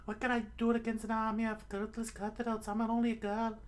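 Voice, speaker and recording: A woman's voice: fairly high pitch, flat delivery, fairly quick, pitched above the performer's own voice, lightly acted. A woman voicing a hot-tempered, greedy young female thief who scolds indignantly in an adventure story. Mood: mock helpless.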